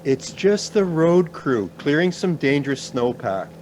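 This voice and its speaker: A man's voice: on the high side, big pitch swings, measured pace, a little mellow, a little hiss or hum. A man acting in a radio play as a reassuring, sceptical professor, formal and understated. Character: reassuring, sceptical male professor, measured and dry.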